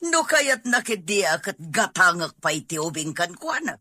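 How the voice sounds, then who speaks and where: Woman playing husky, animated Filipino mother. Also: on the low side, moderate pace, no room sound, heavily acted. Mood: determined. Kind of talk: comedy-drama acting.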